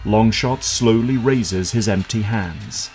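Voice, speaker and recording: A man's voice: lowish voice, slow pace, a little mellow. A man reading scripted dramatic narration for an audio drama. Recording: music behind the voice.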